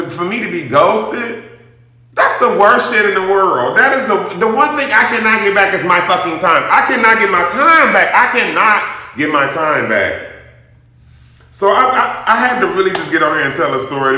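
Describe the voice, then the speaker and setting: A man with a bright, forceful voice, pitched a little high, tells a casual story straight to his listeners. He is blunt and emphatic and swears freely. The recording rings with heavy room echo. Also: animated, normal speed. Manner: emphatic, blunt, profanity-laden, addresses listeners directly. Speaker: storytime host addressing an audience. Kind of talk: casual animated storytelling, rant. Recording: very echoey room.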